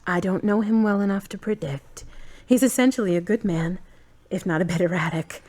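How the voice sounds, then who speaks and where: A woman playing a wry, thoughtful grown daughter in an audio drama, measured and dry. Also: medium pitch, dark tone, lightly acted. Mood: guarded, unsure. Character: wry, guarded adult daughter, thoughtful and independent.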